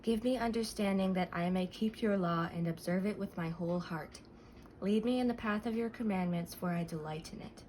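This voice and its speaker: Mid-pitched woman reading scripture. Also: a little fast, darkish tone, a little hiss or hum, low-quality recording. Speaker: reader. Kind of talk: reciting scripture aloud.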